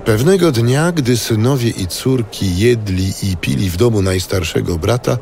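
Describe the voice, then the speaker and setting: A man giving solemn, measured scripted narration in a biblical radio drama. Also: fairly low pitch, lively intonation, gravelly, noisy background.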